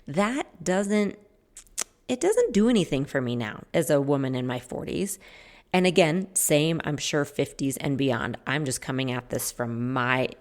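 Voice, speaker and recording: A woman's voice: low-pitched, very animated, unhurried. A woman in her forties hosting a podcast, talking casually on her own and rambling, correcting herself mid-sentence. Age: 40s. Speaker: podcast host. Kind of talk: casual solo podcast talk.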